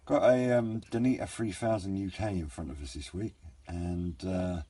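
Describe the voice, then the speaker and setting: A man giving a casual, unscripted hobbyist product review in a hesitant, low-key, rambling way. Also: moderate pace, dark tone. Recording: clear background noise, room ambience.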